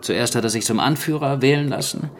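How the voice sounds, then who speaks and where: An actor playing a grim island survivor in a radio drama, recounting catastrophe in terse phrases. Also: male voice, mid-range voice, fairly quick, a little hiss or hum, close to an everyday voice. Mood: bitter. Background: music.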